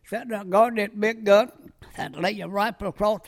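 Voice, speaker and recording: Creaky, high-voiced elderly American man. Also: steady tone, normal speed. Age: very old, 90s. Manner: rambling, folksy storytelling with clipped, loose sentences. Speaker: war veteran being interviewed. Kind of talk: oral-history reminiscence.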